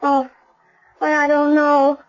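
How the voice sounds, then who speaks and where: Young female, high voice, earnest and hesitant, muffled old radio sound. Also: completely flat delivery, slow pace. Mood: confused, straining. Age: young adult. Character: troubled young secretary regaining her memory, earnest and torn. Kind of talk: 1970s radio drama acting. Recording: muffled 1970s radio broadcast.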